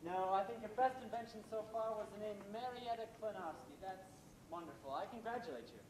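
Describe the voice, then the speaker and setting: A man's voice: brisk, a little mellow, natural voice. A man playing a smug, scheming middle-aged playwright husband in a live stage play, with theatrical, sardonic delivery. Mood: mocking. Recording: echoey amateur stage recording, background rumble.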